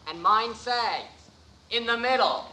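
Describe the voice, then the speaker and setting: A man's voice: very high-pitched, takes it slowly, sharp tone, slight background noise, exaggerated voice, low-quality recording. Earnest, complaining teenage boy. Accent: American. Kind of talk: 1950s radio sitcom acting.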